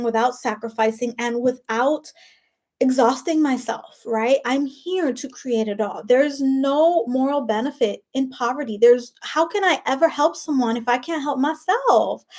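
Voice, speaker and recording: High-voiced woman with a Spanish accent. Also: darkish tone. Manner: chatty, peppered with "like" and "right?"; emphatic and preachy. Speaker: life coach. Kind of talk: casual motivational monologue.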